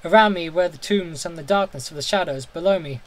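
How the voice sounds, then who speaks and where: Higher-voiced man reading horror dramatically. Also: a little fast. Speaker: audiobook reader. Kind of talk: dramatic first-person horror story narration.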